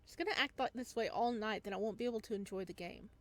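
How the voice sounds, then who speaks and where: A woman's voice: a little high, fast, a bit bright. A woman reading story text from a visual novel aloud as a gameplay host, adding her own asides.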